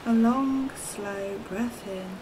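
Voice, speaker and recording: A woman narrating a guided meditation as a teacher, soft and soothing, with gentle, deliberate phrasing and long pauses. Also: mid-range voice, noisy background. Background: ocean waves sound loop.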